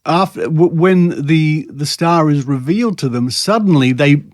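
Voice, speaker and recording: A man's voice: fairly high pitch, moderate pace, dry recording, clean studio sound. An Australian man hosting a podcast in a casual, wryly amused, conversational way. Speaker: podcast host. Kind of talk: casual chat about psychics and scepticism.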